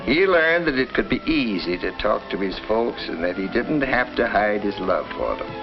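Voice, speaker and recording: A kindly family doctor in a 1950s educational film, reassuring and folksy. His delivery rises and falls in a lively way as he explains things like a father. The old soundtrack is dull, with music clearly behind him. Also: lowish voice, normal speed. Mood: explanatory, reassuring. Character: kindly small-town family doctor recounting cases, reassuring. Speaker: narrator and doctor.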